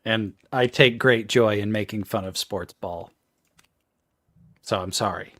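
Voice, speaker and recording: A man's voice: on the low side, unhurried, fairly bright tone, no room sound, studio quality. A thirty-year-old man co-hosting a live stream, laughing and teasing in a sarcastic, casual way. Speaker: co-host of a toy collecting live stream.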